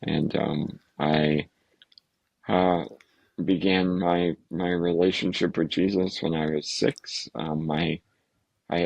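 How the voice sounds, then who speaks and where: A man, a podcast guest, speaking casually and haltingly with many fillers, restarts and soft laughs. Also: a bass voice, vocal fry, no room sound. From United States. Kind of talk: casual interview talk.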